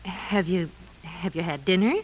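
A woman's voice: lowish voice, unhurried, husky, low-quality recording. A woman playing a concerned, middle-aged mother of a grown daughter in a 1950s radio drama, solicitous and hesitant.

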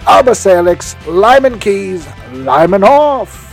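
A man with a fairly high voice speaks as a podcast host over soft music. He rambles whimsically and enthusiastically in a casual, comic promotional monologue. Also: big pitch swings, slow pace, gravelly. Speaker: podcast host recommending audio dramas.

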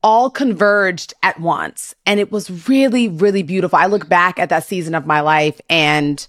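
A woman's voice: lowish voice, moderate pace, no echo, clean studio sound. A woman in her thirties hosting a casual interview, affirming and encouraging her guest in a reflective, conversational way. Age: 30s. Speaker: interview host. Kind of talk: casual supportive interview chat.

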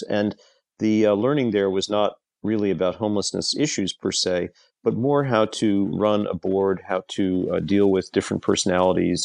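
A middle-aged man from Europe, a leadership consultant answering interview questions in a measured way, often pausing on "uh". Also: sits low, creaky, darkish tone, no room sound. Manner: measured, frequent "uh" fillers.

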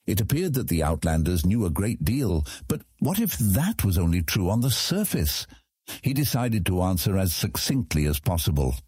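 A man's voice: low-pitched, big pitch swings, moderate pace, a little mellow, slightly rough, no room sound. A man narrating a fantasy audiobook from a script as the storyteller.

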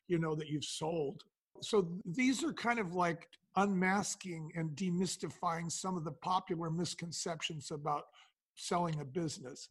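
A man's voice: fairly high pitch, husky. A man speaking as an interview guest, explaining business advice conversationally and posing questions that he then answers. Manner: explanatory, poses questions then answers them, acts out dialogue. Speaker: podcast guest, business founder.

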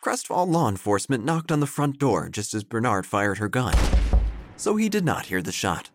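An actor playing an earnest, wordy obituary writer who narrates an audio drama in a deliberate, deadpan way. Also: male voice, medium pitch, background noise. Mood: deadpan, florid. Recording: faint music behind.

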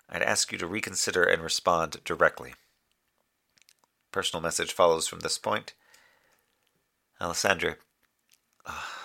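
A low-voiced moonbase communications officer in a sci-fi audio drama. He is earnest and precise, with a bright, focused edge over a creaky bottom. His phrasing is formal and slightly fussy, with a wistful streak. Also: exaggerated voice. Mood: tender. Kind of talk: audio drama monologue as a transmitted report.

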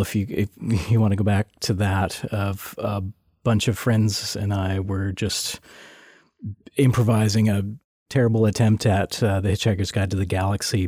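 A man being interviewed, talking casually and hesitantly with many pauses and restarts. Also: fairly low pitch, a little mellow, no room sound, studio quality. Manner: hesitant, lots of uhs and restarts. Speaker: interview guest, sound designer.

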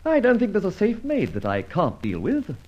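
An actor playing a witty, debonair gentleman adventurer in an old-time radio drama. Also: high-pitched, huge pitch swings, moderate pace, slight background noise. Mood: breezy, teasing. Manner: debonair, flippant, bantering. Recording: dull old radio recording.

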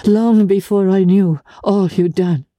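Narrator acting a sorrowful married lady, dignified, measured and formal, unhurried, soft-edged mellow tone, literary audiobook. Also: mid-range voice, steady tone, dry recording, pitched above the performer's own voice, close to an everyday voice. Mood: earnest confession. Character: dignified, sorrowful married woman renouncing her lover. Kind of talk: dramatic literary audiobook reading.

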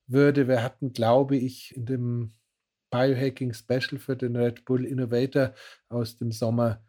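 A man's voice: mid-range voice, little pitch movement, unhurried, mellow. Rambling man explaining at length. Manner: rambling, long winding sentences with many fillers. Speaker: biohacking expert guest. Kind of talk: casual podcast explanation.

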